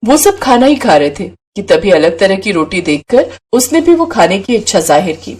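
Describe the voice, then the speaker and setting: A woman's voice: medium pitch, big pitch swings, normal speed, darkish tone, husky. A woman storyteller reading scripted narration for a moral bedtime tale.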